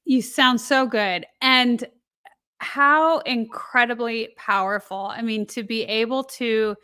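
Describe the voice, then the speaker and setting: Woman podcast host, very slow talker, bright tone, affirming. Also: fairly high pitch, clean tone. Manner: affirming, drawn-out phrasing. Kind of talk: supportive interview chat.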